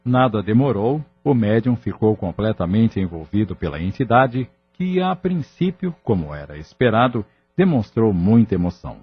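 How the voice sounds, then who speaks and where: Male narrator, Brazilian accent, low breathy voice, dramatic scripted storytelling, slightly muffled. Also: big pitch swings, moderate pace, hardly any pauses, faint music behind.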